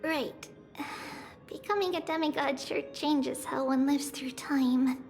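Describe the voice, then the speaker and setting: A woman playing a small, gentle, childlike demigod girl in a video game, speaking in a reflective, unhurried way as if older than she looks. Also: high-pitched. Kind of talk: scripted video game character acting. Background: background music.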